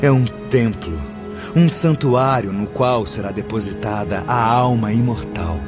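An actor playing a solemn, reverent spiritual instructor in a radio drama, with formal, declamatory teaching speech. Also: male voice, lowish voice, a little slow, lightly acted. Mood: instructive, reverent. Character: solemn, reverent male spiritual instructor addressing his students. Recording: music under the voice.

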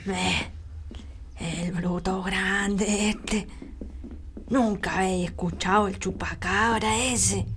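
A woman's voice: medium pitch, steady tone, extremely slow, a little hiss or hum. A woman playing a bossy, mysterious old country healer in a radio drama, scolding in a rural Chilean accent. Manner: scolding, colloquial, sometimes hushed.

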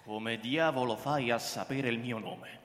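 A stubborn, quarrelsome old man, played by a male actor grumbles his way through a radio drama. His delivery is unhurried yet hard and bright, with blunt challenges. The sound is echoey, with a long reverberant tail. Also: mid-range voice, slight background noise, natural voice. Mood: gruff, irritated. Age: older.

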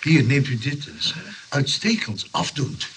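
An actor playing a mild, eccentric inventor, earnest and coaxing, in an old radio play. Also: male voice, mid-range voice, sing-song, unhurried, fairly bright tone. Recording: faintly noisy old radio recording.

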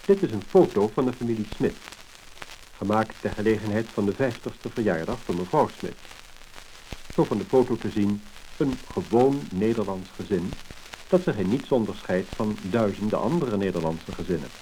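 A faintly crackling mid-century record features a man narrating in Netherlands Dutch. His voice is fairly low, and his scripted delivery is formal and measured. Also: expressive, dark tone. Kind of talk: 1950s scripted documentary-style narration.

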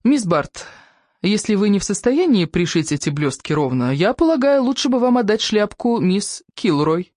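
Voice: medium pitch, very animated, moderate pace, a little mellow, no echo, clean studio sound, pitched above the performer's own voice. A narrator playing a stern, disdainful workshop forewoman who speaks curtly, coldly and formally. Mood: cold reproof. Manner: curt, cold, formal.

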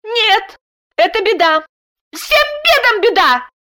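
A shrill, high-pitched wife, hysterical and sharp-tongued. Her voice whines and swoops dramatically, like a storybook shrew on the edge of tears. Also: lively intonation, measured pace, heavily acted. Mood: indignant. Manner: shrieking, whiny, hissing.

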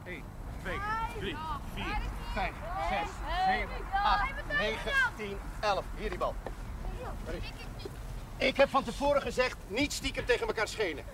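High-voiced, bossy village priest. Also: male voice, sing-song, measured pace, pauses often, exaggerated voice. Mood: stern, bossy. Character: feisty, good-humoured male village priest refereeing boys' football. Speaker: actor in a television drama. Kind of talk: naturalistic 1970s television drama acting. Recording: noisy outdoor 1970s television soundtrack.

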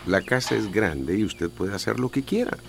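A man playing a worried, quick-tempered middle-aged son and husband with a Costa Rican accent, exasperated and emphatic, in a radio drama. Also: fairly low pitch, expressive, creaky.

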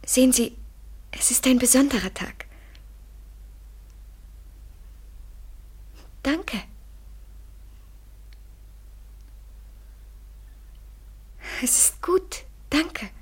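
Young woman in love, radio drama: slow, breathy, feverishly intense, poetic. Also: high voice, lively intonation, darkish tone, full character voice. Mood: expectant, nervous joy. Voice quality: breathy, often near whisper. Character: young woman consumed by ecstatic, desperate love. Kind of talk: German literary radio play acting. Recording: 1970s radio drama studio recording.

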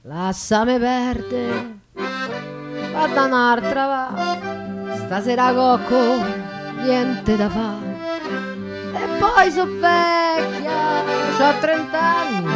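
A woman's voice: high voice, extremely slow, pauses often. A woman with a Roman accent singing theatrical folk songs. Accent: Roman Italian. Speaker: singer. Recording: live guitar and accordion behind voice. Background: loud acoustic guitar and accordion.

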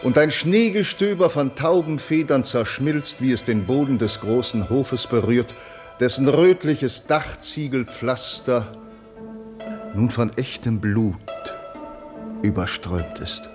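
Slow, solemn male narrator played by an actor. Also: medium pitch, a little mellow, natural voice. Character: solemn male narrator of surreal violent visions. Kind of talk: literary radio drama narration. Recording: music under the voice.

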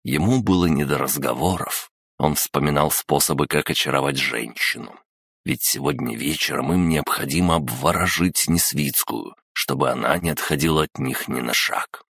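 A man's voice: extremely low pitch, normal speed, gravelly, a bit bright, breathy edge, no echo, clean studio sound. A man reading an audiobook aloud, narrating the story and giving the characters their lines himself. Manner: reads all characters himself.

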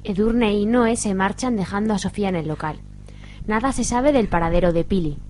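A woman's voice: mid-range voice, a little fast, mellow. Female narrator, scripted radio soap narration, middle register, slightly noisy recording.

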